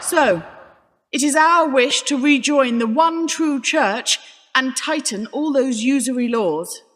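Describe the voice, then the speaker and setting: A woman's voice: high voice, slow pace, fairly bright tone, long reverb tail, heavily acted. An actress playing a stern, imperious queen who speaks formally. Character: stern pious queen, female.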